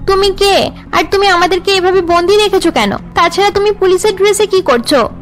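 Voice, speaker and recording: A woman's voice: extremely high pitch, a little fast, faint music behind, pitched above the performer's own voice. A woman playing an indignant captive young woman in a cartoon drama, demanding answers.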